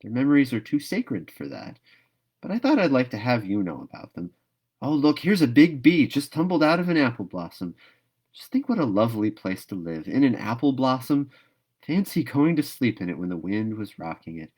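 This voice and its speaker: A man's voice: mid-range voice, sing-song, normal speed, a little mellow, husky. Man reading as a gushing, dreamy young orphan girl. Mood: excited, pleading. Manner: gushing, wistful, dramatic. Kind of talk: storybook read-aloud.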